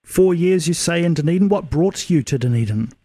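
A man's voice: fairly high pitch, animated, brisk, dark tone, breathy edge, dry recording, studio quality. Friendly radio interviewer man.

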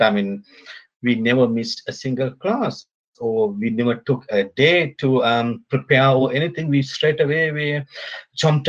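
A man from Sri Lanka, a polytechnic lecturer, speaking as a guest in a casual remote interview, halting with many fillers and restarts. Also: mid-range voice, muffled recording.

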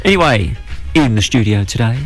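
A man with a booming voice, co-hosting a radio show in the studio with casual, self-mocking banter. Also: animated, a little fast, soft background music. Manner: jokey, self-mocking, rambling. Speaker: radio co-host.

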